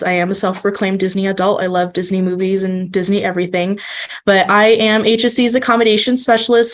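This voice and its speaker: A woman with a stuffy, congested voice walks listeners through a presentation in a loose, friendly manner. She speaks at a middling pitch and often pauses on ums and restarts her sentences. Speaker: accommodations specialist presenting. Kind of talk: informal webinar presentation.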